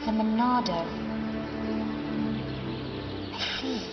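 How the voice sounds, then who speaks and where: A young British woman playing a formal, dignified noble mage in a fantasy video game, with a measured, solemn delivery. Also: on the high side. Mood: pensive. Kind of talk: dramatic video game voice acting. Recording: dull game capture under loud music.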